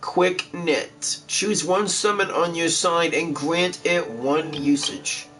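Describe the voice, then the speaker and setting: A man's voice: fairly high pitch, slow, a bit bright. A man reading game text aloud as he plays through a video game walkthrough. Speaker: game streamer.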